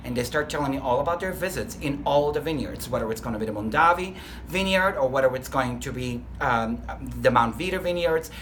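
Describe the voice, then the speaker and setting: A man's voice: medium pitch, a little fast, a bit bright, slight background noise. Bulgarian-accented man, earnest and enthusiastic. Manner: earnest, enthusiastic, with a few self-corrections. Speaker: restaurant lead trainer, interview guest. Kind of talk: workplace interview.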